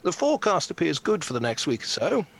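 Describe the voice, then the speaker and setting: A man's voice: a little high, huge pitch swings, brisk, vocal fry, fairly bright tone, full character voice. A man playing a pompous, prickly beekeeper in an audio drama, pedantic and condescending. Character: pompous, prickly male beekeeping club member. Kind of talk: naturalistic audio drama acting.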